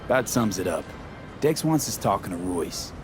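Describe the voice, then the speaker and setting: With music behind him, a young merc from a video game, pitched low. He is terse, tough and streetwise, getting straight to the point. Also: very animated, normal speed. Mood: matter-of-fact. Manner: clipped, blunt, streetwise.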